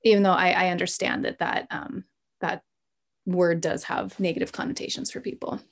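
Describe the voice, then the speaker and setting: A woman hosting a podcast interview, chatty and curious, asking warm follow-up questions and sharing her own stories. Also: fairly low pitch, level tone, normal speed, vocal fry. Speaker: podcast host. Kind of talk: casual interview conversation.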